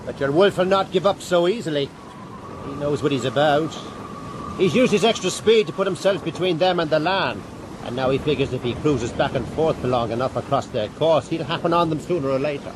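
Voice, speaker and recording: A man's voice: a little high, a little fast, lightly acted. An actor playing a salty, knowing, superstitious seaman in a radio drama. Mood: wary. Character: gruff, superstitious old sea salt sailor. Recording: loud wind and sea ambience.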